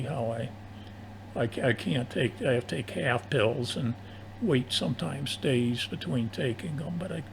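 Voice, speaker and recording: Older man, gravelly creaky voice, halting and hesitant, casual ham radio operator, faint noise. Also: mid-range voice. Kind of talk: casual ham radio conversation, long turns. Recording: noisy amateur AM radio audio.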